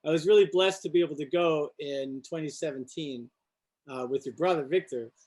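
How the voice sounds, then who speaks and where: A man's voice: on the high side, a little fast, a little mellow. A middle-aged man hosting an alumni interview on a video call, friendly and hesitant with many fillers. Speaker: host, architecture professor. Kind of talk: interview host.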